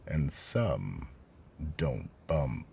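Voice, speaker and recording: An ominous horror storyteller, played by a man, extremely deep and full of gravel. He narrates slowly and theatrically for a radio mystery play. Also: big pitch swings, mellow, slight background noise, heavily acted. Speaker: narrator and show host. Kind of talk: dramatic scripted horror narration.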